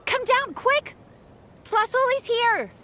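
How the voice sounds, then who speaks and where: A woman voicing a bright, helpful, energetic young villager in a video game, eager and expressive. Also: very high voice, slow, background noise, heavily acted. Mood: urgent calling. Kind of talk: English video game voice acting.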